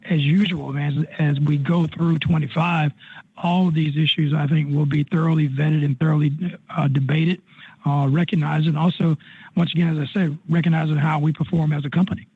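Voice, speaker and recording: A man's voice: a little high, flat delivery, a little fast, husky. A man, a company executive speaking informally and folksily, often repeating himself. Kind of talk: informal answers on an earnings call. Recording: narrow-band conference phone line.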